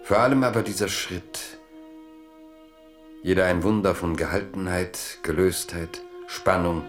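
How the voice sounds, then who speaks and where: Male radio-play narrator, low and very slow, measured literary delivery. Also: steady tone, faint music behind.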